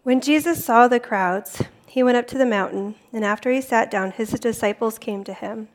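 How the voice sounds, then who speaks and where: A woman's voice: fairly high pitch, normal speed, rough recording. A woman reading scripture aloud from a prepared text. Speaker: volunteer scripture reader.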